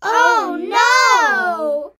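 Woman as a squeaky little cartoon animal. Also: expressive, extremely slow, background noise, full character voice. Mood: bright, exclaiming. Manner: exaggerated, simple short phrases. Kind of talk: slow children's language-lesson cartoon acting.

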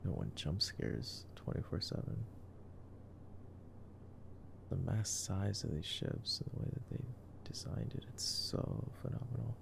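A man in a casual ASMR roleplay, commenting on a movie in a soft, hushed, intimate murmur. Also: low-pitched, sing-song, measured pace, pauses often. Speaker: ASMR roleplay voice actor as boyfriend. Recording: clearly noisy background ambience.

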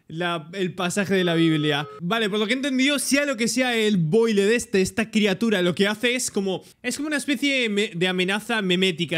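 A young man with a Peninsular Spanish accent giving excitable reaction commentary and translating aloud as he goes. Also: high voice. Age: young adult. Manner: excitable, interjecting. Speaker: reaction video host. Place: indoor room, webcam reaction setup. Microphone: desktop streaming microphone.

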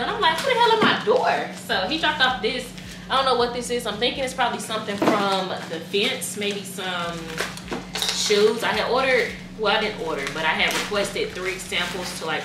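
A woman's voice: mid-range voice, a little fast. Chatty young woman in an echoey kitchen. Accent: Southern American. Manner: chatty, excitable, slangy. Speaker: vlogger. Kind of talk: casual vlog chat. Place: apartment kitchen, indoors. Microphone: camera at a distance. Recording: echoey room, clear background noise.

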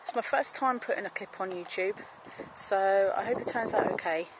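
A woman's voice: mid-range voice, moderate pace. A woman giving a casual, homely walking tour of her allotment garden, chatting and explaining as she films on a handheld camera outdoors. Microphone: handheld camera, speaker off screen. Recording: outdoor camera audio with clear background noise.

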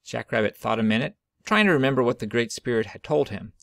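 Man, children's storybook reader, mid-pitched voice with some fry, dry sound. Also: animated, fast, a bit bright, no room sound. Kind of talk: expressive read-aloud of a children's picture book.

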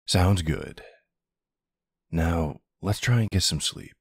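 A man playing a tender but commanding mafia boss husband in an ASMR roleplay, with a low, gravelly, husky voice. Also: big pitch swings, slow pace, no echo, clean studio sound, full character voice. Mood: soft, serious.

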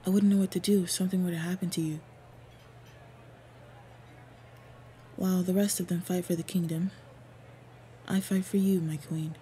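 A woman playing a devoted, protective female orc warrior lover in an intimate fantasy roleplay audio, speaking softly, earnestly and often breathily. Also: on the low side, fairly even intonation, quick pace, natural voice. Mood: relieved, tender. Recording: clear background ambient noise. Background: ambience sound effects.

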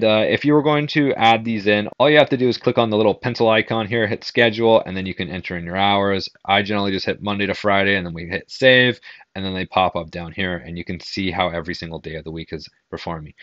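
A man's voice: sits low, fairly bright tone, no room sound, lo-fi sound. A young man giving a casual, conversational screen-share tutorial as a marketing instructor. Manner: informal, conversational, explanatory.

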